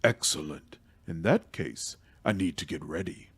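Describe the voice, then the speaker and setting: Fantasy game mentor, male and otherworldly, acted by a man: low husky voice, measured, formal, calculating. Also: full character voice. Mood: calm, calculating.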